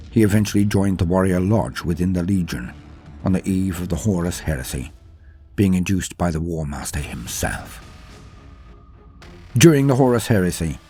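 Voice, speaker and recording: A man's voice: sits low, dark tone. A man delivering scripted lore narration in a grave, dramatic storytelling manner. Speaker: host narrator of a lore channel. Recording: faint music behind. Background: faint music.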